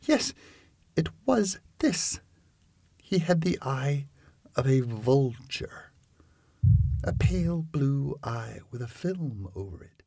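A man performs an obsessive madman from a gothic horror reading, desperate to seem sane. The pitch leaps about as the agitated, emphatic delivery halts and presses on. The pace is slow and the sound is very dry. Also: sing-song, gravelly, dark tone, slightly rough, dry recording, exaggerated voice. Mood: brooding, obsessive.

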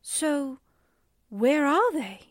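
An actress plays a scared lady sidekick in an old radio thriller. Her voice is extremely high and swoops around, with slow, breathless melodrama. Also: very animated, full character voice. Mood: shocked. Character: frightened, breathless young newspaperwoman sidekick. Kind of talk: 1940s radio drama acting.

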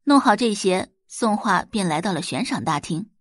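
A woman narrating a web novel as a scripted audiobook, telling the story in an expressive, dramatised way. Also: medium pitch, normal speed, dry recording, studio quality.

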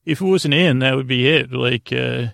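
A man hosting a bedtime sleep podcast, with a creaky voice and a gentle, silly, meandering manner full of hesitations, tangents and pauses. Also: medium pitch, dry recording, clean studio sound. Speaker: podcast host.

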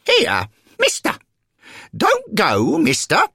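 Bloke doing a nice old lady in a story, folksy and keen, high voice, really swoopy. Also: huge pitch swings, a little slow, a bit bright, husky, dry recording, studio quality, full character voice. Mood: concerned, friendly. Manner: folksy, ungrammatical, enthusiastic. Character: kindly, forthright elderly woman, devoted football supporter.

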